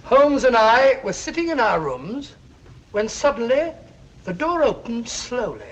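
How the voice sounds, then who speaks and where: An actor playing a jovial, bumbling older British gentleman telling tales in a hesitant, self-important way, in 1940s film style. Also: very high-pitched, big pitch swings, a little slow, highs cut off, exaggerated voice. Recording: noisy old film soundtrack.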